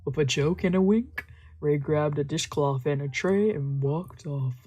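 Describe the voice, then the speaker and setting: A woman's voice: deep voice, a little slow, husky. A woman streamer reading a visual novel aloud as narrator during a let's play. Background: soft game music.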